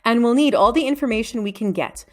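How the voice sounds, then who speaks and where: A woman voicing a brisk, shrewd female deputy headmistress in a children's storybook. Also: a little high, voice pushed up, natural voice. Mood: brisk, probing.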